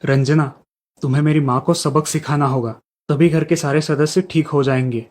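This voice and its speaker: A man's voice: a little high, fairly even intonation, normal speed, darkish tone, lightly acted. A man voicing an earnest, determined young man in love in a cartoon story.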